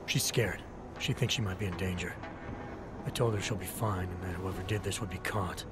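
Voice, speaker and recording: A man playing a terse, low-voiced agent. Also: moderate pace, close to an everyday voice. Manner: terse, steady, understated. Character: calm, serious male ex-cop Interpol agent. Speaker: game protagonist. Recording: game cutscene with loud music.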